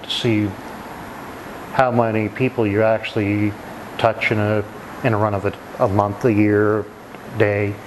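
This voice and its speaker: A middle-aged man with a Maritime Canadian accent speaks in a fairly low voice. He answers interview questions reflectively and haltingly, with noticeable noise behind him. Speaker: retiring emergency dispatcher and firefighter.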